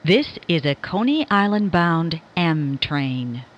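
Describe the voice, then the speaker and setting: A woman with a slightly low voice delivers formal recorded subway announcements. Her pace is very slow and measured, each word clearly enunciated, with lively rises and falls in pitch. The recording sounds dull and lo-fi. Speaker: automated subway train announcer. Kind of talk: scripted transit announcement.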